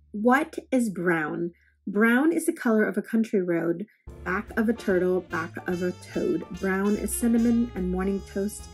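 Woman, mid-pitched voice, children's poetry read-aloud, expressive, deliberate phrasing, soft guitar music behind. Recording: music playing under the voice. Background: plucked guitar music.

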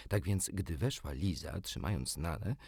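A man with a lowish voice reads a children's story expressively. His pitch moves in lively swings, and the recording is dry and close. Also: moderate pace, creaky, breathy edge, no room sound. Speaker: audiobook narrator. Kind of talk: expressive scripted storybook narration.

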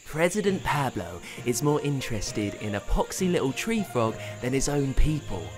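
A man plays a greedy, jeering forestry boss in a storybook tale, huffing his lines with a lively, swinging pitch. Faint crickets and music sit behind. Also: normal speed, lightly acted. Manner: jeering, huffy.

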